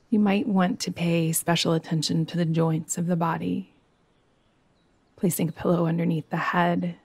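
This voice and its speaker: A woman teaching yoga nidra, guiding a meditation in a soothing, gentle way with long, unhurried pauses. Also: fairly low pitch, gravelly, breathy edge, no room sound. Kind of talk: guided meditation.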